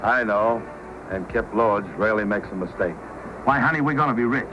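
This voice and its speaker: Man playing low-voiced scheming frontier outlaw. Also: a little fast, background noise, close to an everyday voice. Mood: ardent, boastful. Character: scheming male fur thief and livery man, bossy, smitten. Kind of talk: old-time radio drama acting. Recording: old radio recording with loud music.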